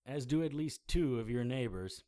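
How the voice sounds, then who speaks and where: An actor playing a hard-boiled, sardonic occult investigator in a noir audio drama, blunt and world-weary. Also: male voice, medium pitch, expressive, normal speed, warm tone, lightly acted. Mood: scornful, exasperated.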